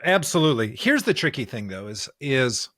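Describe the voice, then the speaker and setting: An American man co-hosting a conversational Bible discussion podcast, earnest and halting as he searches for his words and piles up clauses. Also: medium pitch, big pitch swings, a bit bright, dry recording, clean studio sound. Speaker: podcast co-host discussing scripture.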